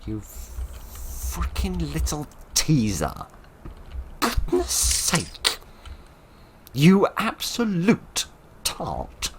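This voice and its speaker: A man commentating his horror game playthrough in a chatty, wry way, with a voice that is getting hoarse. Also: fairly high pitch, huge pitch swings, very slow, pauses often, noisy background. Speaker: gaming commentator. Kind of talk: let's play commentary.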